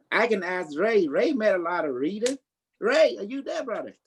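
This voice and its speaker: Psychic livestream host, boastful and playful, mid-pitched, loose improvised chat in non-standard grammar. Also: sing-song, a little slow, a little hiss or hum.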